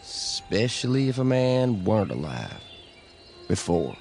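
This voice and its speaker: An actor playing an easygoing, curious small-town man in a radio drama, with a gravelly, folksy rural American voice. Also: on the low side, big pitch swings, unhurried, darkish tone, slight background noise, heavily acted. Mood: genial, musing. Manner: folksy, musing.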